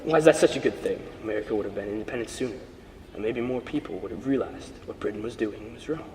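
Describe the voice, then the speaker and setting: A man's voice: lowish voice, fast, a little mellow, close to an everyday voice. A teenage boy playing earnest historical characters in an amateur puppet show, reciting scripted lines somewhat stiffly. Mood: earnest, argumentative. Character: opinionated questioner, later a modest, honorable colonial gentleman. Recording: roomy reverberant recording with background hiss.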